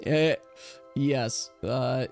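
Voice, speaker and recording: A man's voice: mid-range voice, very slow, gravelly, a little mellow, soft background music. A man speaking casually as game master, narrating and managing an online tabletop game. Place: online voice call.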